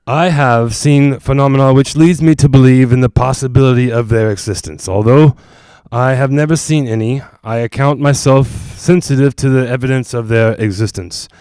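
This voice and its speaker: A man playing a solemn, earnest psychic ghost hunter, formal and halting, in a 1940s-style radio drama. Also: medium pitch, darkish tone, smooth, dry recording, lightly acted. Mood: grave. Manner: formal, measured, halting, grave.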